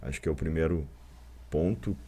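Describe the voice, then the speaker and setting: A man's voice: a bass voice, a little fast, dark tone. A man, a podcast guest, speaking earnestly and with emphasis. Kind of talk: podcast discussion.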